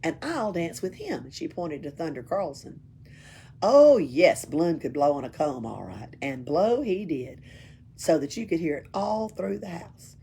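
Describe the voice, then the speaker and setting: Lady reading a kids story out loud, really lively, bit of background noise. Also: low voice, unhurried, creaky. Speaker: library storyteller. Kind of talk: animated children's story read-aloud. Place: library. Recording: faint background noise, room ambience.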